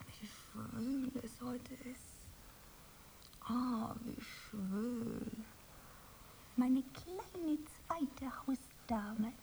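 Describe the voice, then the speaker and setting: Young actress, radio play, very unhurried, theatrical, breathy, dreamy, proud, wistful, faint noise behind. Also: female voice, high-pitched, a little mellow, full character voice, poor audio. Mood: languid, dreamy. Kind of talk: radio play monologue and dialogue.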